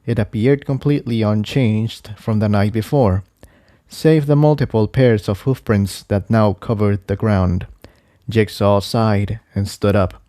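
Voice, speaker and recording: Mellow man narrating a story, close and dry. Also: lowish voice, steady tone, unhurried, no echo. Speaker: fan fiction narrator. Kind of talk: scripted audiobook-style story narration.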